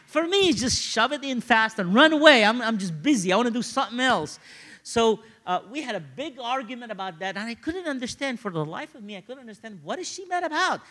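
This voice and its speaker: Animated male preacher, bright high voice. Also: brisk. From Egypt, grew up in Cairo. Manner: animated, conversational, repeats phrases for emphasis. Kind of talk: sermon with personal storytelling.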